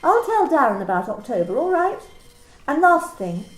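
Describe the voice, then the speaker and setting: A woman playing a coolly clinical office manager in an amateur radio play, asking personal questions in a calm, matter-of-fact, bureaucratic way. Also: high voice, moderate pace, echoey room. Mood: businesslike.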